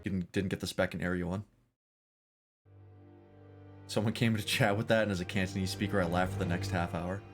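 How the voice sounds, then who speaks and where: A man's voice: deep voice, level tone, a little fast, pauses often, soft background music. A man streaming a game, chatting casually and rambling with self-deprecating jokes.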